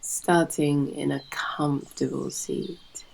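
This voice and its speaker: A British woman guiding a yoga nidra meditation in a soft, breathy, soothing manner with long pauses. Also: deep voice, steady tone, rough recording. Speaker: yoga nidra guide and host. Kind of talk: guided meditation narration.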